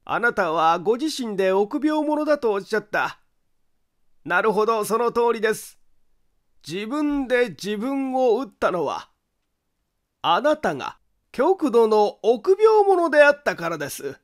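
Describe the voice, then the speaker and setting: A man acts out a shrewd male detective from a mystery audiobook. The voice is high for a man and slow, with theatrical, deliberate delivery and polite but pressing accusation. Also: heavily acted. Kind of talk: dramatic audiobook character acting.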